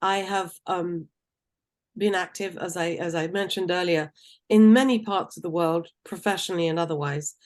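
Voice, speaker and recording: A woman, answering interview questions in an articulate, measured way, with the occasional um. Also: lowish voice, steady tone. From Tunisia. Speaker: guest.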